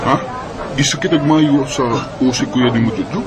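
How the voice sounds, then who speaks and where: A man's voice: mid-range voice, normal speed, a loud crowd, very noisy, natural voice. A man acting a caring, hardworking elder brother in a Bisaya radio drama, earnest and reassuring. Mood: concerned.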